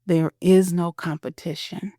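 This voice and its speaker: A woman voicing a gentle, remorseful middle-aged husband in an audio drama, speaking softly and earnestly. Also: fairly low pitch, flat delivery, a little slow, no room sound, clean studio sound, natural voice. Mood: firm, reassuring. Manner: soft, earnest, firm.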